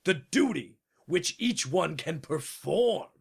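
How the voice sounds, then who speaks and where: A man playing a proud old king in a storybook dramatization, with a gravelly, raspy voice and a pompous, imperious, theatrical delivery. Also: on the high side, sing-song, slow pace, exaggerated voice. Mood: imperious, indignant.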